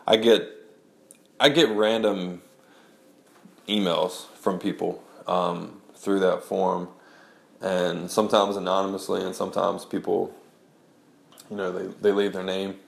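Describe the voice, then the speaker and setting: A man's voice: low voice, level tone, a little slow, gravelly, pauses often, echoey room. A man recording a casual audio blog about memoir writing, talking through a congested head cold and rambling with many restarts. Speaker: blogger and memoir writer.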